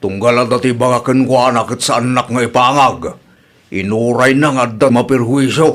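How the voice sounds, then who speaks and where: A man's voice: mid-range voice, normal speed, fairly bright tone, close to an everyday voice. A man acts an exasperated village dad in a radio comedy drama. His voice is mid-range with an Ilocano accent. He speaks plainly and reproachfully. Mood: resigned. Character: exasperated village father scolding his grown daughter.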